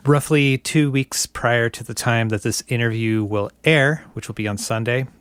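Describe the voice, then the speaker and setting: A man hosting a weekly radio show, reading a scripted introduction and then asking interview questions in a measured, earnest way. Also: medium pitch, fairly bright tone, clear, resonant tone, dry recording.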